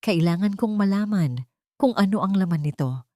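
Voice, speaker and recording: A woman's voice: medium pitch, lively intonation, normal speed, mellow, no room sound, studio quality, natural voice. A woman voicing a determined young woman doctor in an audio drama, speaking seriously and urgently. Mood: grave, urgent.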